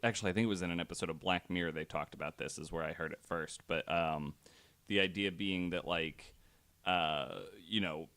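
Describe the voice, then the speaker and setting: Male podcast co-host, low voice, rambling, hesitant delivery. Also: steady tone, a little fast, a bit bright. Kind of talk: casual chat.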